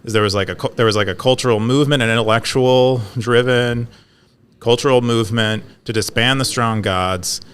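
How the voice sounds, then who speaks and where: A man with a fairly low, smooth and resonant voice talks through an idea in a loose, casual way. He hesitates often and restarts his sentences as he explains. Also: fairly bright tone. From California. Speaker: podcast co-host. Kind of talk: casual conversational discussion.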